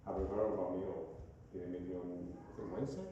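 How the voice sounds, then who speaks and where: A man with a Venezuelan Spanish accent, preaching as a guest speaker in a church, pausing often to search for his words. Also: low-pitched, little pitch movement, muffled recording, noisy background. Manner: halting, searching for words, frequent "uh" and restarts. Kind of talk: sermon. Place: church sanctuary. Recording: echoey hall from far off.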